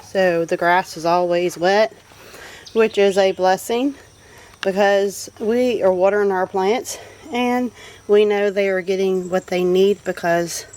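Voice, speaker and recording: A woman's voice: mid-range voice, steady tone, unhurried, darkish tone. A woman with a Southern US accent gives a casual, folksy, unscripted garden tour in a rambling, appreciative way. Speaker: homestead vlogger giving a garden tour. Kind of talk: casual unscripted walk-through narration. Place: outdoors in a homestead garden. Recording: noisy outdoor recording with animal sounds. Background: birds, roosters and farm animals.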